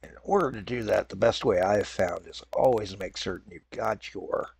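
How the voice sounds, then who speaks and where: A man's voice: fairly low pitch, moderate pace, vocal fry, a little mellow, husky. A man hosting a casual game commentary, stuffed up from a head cold with a raw throat, rambling and correcting himself as he plays. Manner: rambling, self-correcting, apologetic. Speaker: gaming channel host. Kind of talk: casual let's-play commentary.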